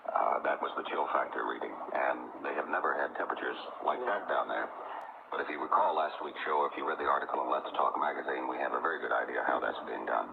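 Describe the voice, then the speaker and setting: A man's voice: rumbling low, fast talker, gravelly. A man hosting a live radio call-in show. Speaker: radio host. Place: radio studio. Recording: tinny, noisy old radio broadcast.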